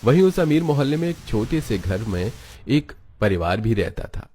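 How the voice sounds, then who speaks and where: A man's voice: medium pitch, big pitch swings, normal speed, dark tone. A man narrating a scripted children's story as the storyteller.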